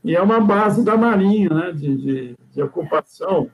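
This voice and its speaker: Gravelly high-voiced older man rambling. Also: expressive, moderate pace, low-quality recording. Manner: rambling, frequent "né?" tags and restarts. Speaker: guest sailor. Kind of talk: casual storytelling chat.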